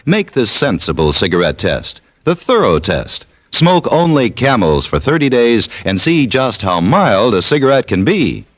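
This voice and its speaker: Animated male vintage radio pitchman. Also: unhurried, hardly any pauses, no echo. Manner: emphatic, persuasive. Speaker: radio commercial announcer. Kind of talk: vintage radio advertising read. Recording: old radio recording, dry studio.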